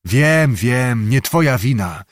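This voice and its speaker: A man's voice: mid-range voice, expressive, slow, dry recording, studio quality. A man voicing a seasoned knight, speaking firmly and commandingly. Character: seasoned knight, warm toward servant.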